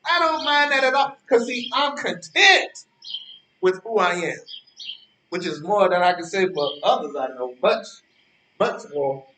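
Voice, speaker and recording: A man playing a smug romantic rival in a stage drama, high-voiced and swinging widely in pitch. He needles and boasts in colloquial talk, hammering phrases by repeating them. The sound is echoey, as in a bare room. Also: lively intonation, measured pace, bright, forceful tone, a little hiss or hum, full character voice. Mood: self-satisfied, preachy. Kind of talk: stage play acting. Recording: roomy, echoey, distant from microphone.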